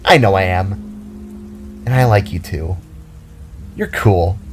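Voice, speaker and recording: A man's voice: sits low, takes it slowly, soft background music. A man voicing a playful, kind young girl in an audio drama, with a casual, teasing manner.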